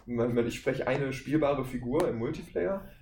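A man's voice: medium pitch, moderate pace, a little mellow, a little hiss or hum. Man chatting in a roomy, echoey space. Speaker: podcast guest, voice actor. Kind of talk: casual interview chat. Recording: roomy echo.

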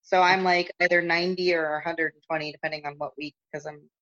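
A woman's voice: steady tone, fast talker, fairly bright tone. A young woman, a competitive lifter, chatting loosely in an interview over the phone, with lots of fillers and restarts and a voice that has lost its upper register. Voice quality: lost her upper register, no longer high. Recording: muffled phone-call audio.

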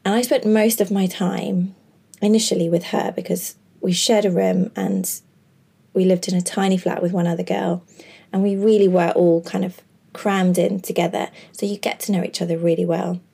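Lady podcaster rambling thoughtfully, low husky gravelly voice, mellow tone. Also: normal speed. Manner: earnest, chatty, with many fillers. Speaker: podcast host. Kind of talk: reflective monologue.

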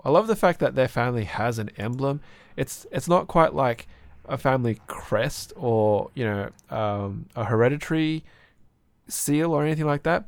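A hoarse man co-hosting a podcast, chatting casually and rambling with fillers. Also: medium pitch, dry recording. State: hoarse, sore throat. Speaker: podcast co-host.

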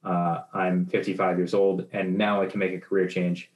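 A man's voice: low-pitched, level tone, normal speed. A man in his twenties talking in a rambling casual discussion, with many fillers and restarts. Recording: roomy echo.

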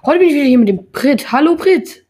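A woman's voice: high-pitched, lively intonation, a little fast, mellow. A young woman in her teens hosting a casual podcast, rambling along with lots of filler words. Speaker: podcast host.

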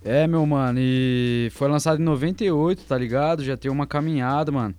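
A man's voice: medium pitch, a little fast, darkish tone, clear, resonant tone. A man radio co-host talking casually in a slangy, laid-back way.

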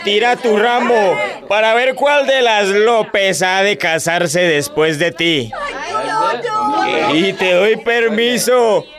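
A man's voice: high voice, takes it slowly. A man playing an earnest young groom and heir in an audio drama, declaiming in an emotional, effusive way. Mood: dazed, insistent. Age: young adult. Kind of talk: Spanish-language audio drama acting. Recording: loud music and crowd behind voice.

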